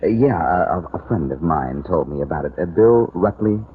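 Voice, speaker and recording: An actor playing a smooth, teasing detective in a 1940s radio drama. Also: male voice, sits low, lively intonation, normal speed. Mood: wry. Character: wisecracking reformed-thief male detective. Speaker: lead detective. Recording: tinny old radio broadcast with faint hiss.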